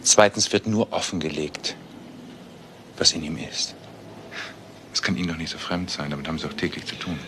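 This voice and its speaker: An actor playing a cultured, cynical swindler who philosophises politely and pompously in a crime drama. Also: male voice, extremely low pitch, normal speed, pauses often, fairly bright tone, slight background noise. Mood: philosophising, cynical. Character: cultured, cynical, smug male master swindler and seducer. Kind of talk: German TV crime drama acting.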